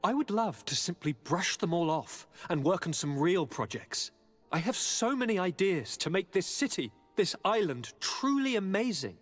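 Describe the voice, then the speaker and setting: An actor playing a young noble governor in a video game, sickly and restless, with a theatrical, courtly and expressive delivery. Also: male voice, sits high, moderate pace, soft background music. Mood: animated, bored, scornful. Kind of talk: video game cutscene dialogue.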